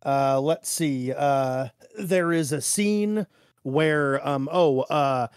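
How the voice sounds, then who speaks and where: A man co-hosting a podcast, casually talking through his notes in a halting way and often saying "like". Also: fairly high pitch, clean tone, no room sound, studio quality. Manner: halting, peppered with "like". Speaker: podcast co-host.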